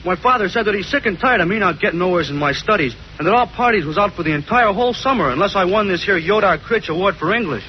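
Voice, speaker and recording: A man playing a dim, earnest American teenage athlete with clumsy, slow-witted speech in a 1940s radio sitcom. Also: high-pitched, expressive. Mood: glum. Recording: dull, noisy 1940s radio recording.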